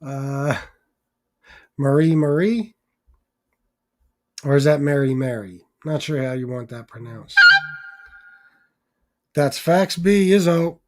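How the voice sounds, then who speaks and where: A man hosting a livestream, chatting casually and informally with a hoarse voice that is giving out. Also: on the high side, slow, pauses often, dark tone. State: hoarse, losing his voice. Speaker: livestream host. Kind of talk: casual livestream chat with shout-outs.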